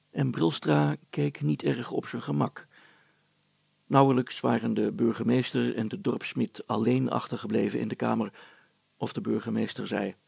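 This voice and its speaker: A man reading a storybook aloud as an expressive narrator. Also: mid-range voice, vocal fry, slightly rough, no room sound.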